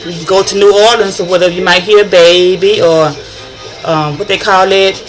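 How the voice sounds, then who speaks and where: A woman's voice: fairly low pitch, steady tone, normal speed, soft background music, poor audio. A middle-aged woman from northern Louisiana with a country accent, rambling in a chatty vlog monologue and often restarting her sentences. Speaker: vlogger.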